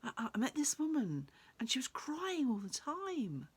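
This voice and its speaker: A woman's voice: sits high, wildly swooping pitch, moderate pace, husky, heavily acted. A woman storyteller voicing a polite, helpful man wandering in a folk tale, obliging and slightly hesitant. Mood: hesitant, earnest. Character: polite, helpful young male wanderer seeking his luck.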